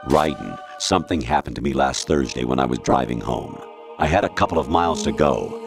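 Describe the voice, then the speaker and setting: A man's voice: a bass voice, expressive, fairly quick, creaky, heavily acted. An actor playing a stern older male military colonel in a video game, commanding and formal but eerily erratic. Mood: eerie, erratic. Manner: commanding, clipped, formal, sliding into rambling non sequiturs. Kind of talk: dramatic video game voice acting. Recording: music behind the voice. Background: loud music.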